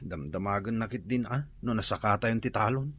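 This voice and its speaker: A dutiful, worried son. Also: male voice, lowish voice, a little fast, fairly bright tone, slightly rough. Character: dutiful adult son, anxious. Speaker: adult son. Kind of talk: radio drama acting.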